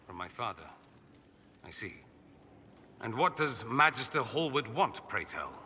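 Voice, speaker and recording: An actor playing a witty, haughty aristocratic mage in a video game, speaking with sardonic, theatrical, ornate phrasing. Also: male voice, mid-range voice, big pitch swings, measured pace, sharp tone, long reverb tail, slight background noise, heavily acted. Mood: indignant, wry.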